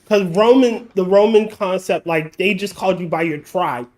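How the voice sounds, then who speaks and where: High-voiced man hosting a casual chat. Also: normal speed, darkish tone. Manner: blunt, swears freely, lectures in long runs. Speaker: podcast host.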